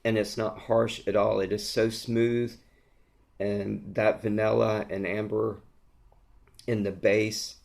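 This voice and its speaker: Man, hoarse, gravelly, low, flat delivery, rambling fragrance review vlog. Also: slow pace. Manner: gushing, rambling, lots of ums and restarts. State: hoarse, losing his voice. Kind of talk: casual product review vlog.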